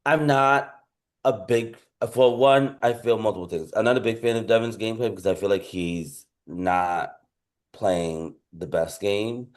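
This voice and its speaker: Low-voiced man chatting casually. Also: steady tone, a little slow. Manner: agreeable, soft backchannels, hesitant. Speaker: podcast co-host. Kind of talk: casual recap chat.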